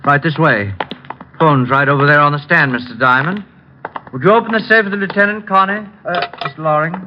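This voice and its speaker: An actor playing a smooth, defensive husband in an old-time radio drama, speaking in a formal, measured way. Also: male voice, fairly high pitch, noisy background, close to an everyday voice. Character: smooth, defensive husband hiding behind polite explanations. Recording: dull old radio recording.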